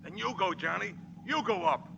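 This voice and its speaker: A man's voice: sits high, very animated, moderate pace, vocal fry, dull audio, full character voice. An actor playing a cowardly, fearful gangster henchman in 1940s radio drama style. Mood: terrified. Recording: reverberant, faintly noisy 1940s radio recording.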